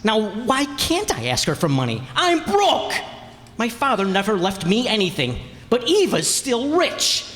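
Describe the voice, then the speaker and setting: A man's voice: high voice, wildly swooping pitch, a little slow, fairly bright tone, full character voice. A man acting as a desperate, defiant cornered suspect in an old-time radio mystery, agitated and defensive. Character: desperate, defiant cornered male murder suspect, broke and indignant. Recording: echoey hall stage recording.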